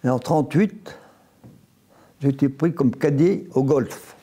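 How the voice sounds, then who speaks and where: A man in his nineties recounting his life in an interview, unhurried, often restarting and correcting himself. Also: mid-range voice, dark tone, husky. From France, grew up near Évian after early childhood in Italy. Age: 90s. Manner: unhurried storytelling with frequent restarts and self-corrections. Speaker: veteran interviewee. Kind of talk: oral history interview, recounting memories.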